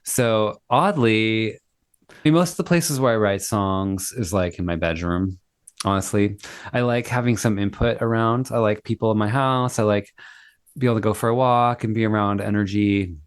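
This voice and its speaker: A fairly low-pitched man talks with easy enthusiasm, often affirming what he hears. He is heard over a video call, and the sound is dry with no room echo. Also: moderate pace, clean tone. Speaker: guest, singer-songwriter. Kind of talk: casual interview chat.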